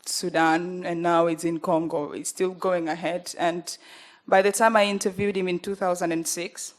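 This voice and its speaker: A young woman with a Ugandan accent, speaking earnestly at a panel, pausing often with fillers as she gathers her words. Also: lowish voice, level tone. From northern Uganda. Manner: earnest, halting, many fillers. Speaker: journalist panelist. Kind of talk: panel talk.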